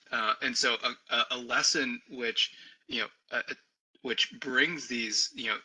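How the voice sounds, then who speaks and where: A man's voice: fairly low pitch, sharp tone, low-quality recording. A man who is a history professor, hosting a webinar and speaking in a hesitant way, with many stammered restarts. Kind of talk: academic moderation. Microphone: video call.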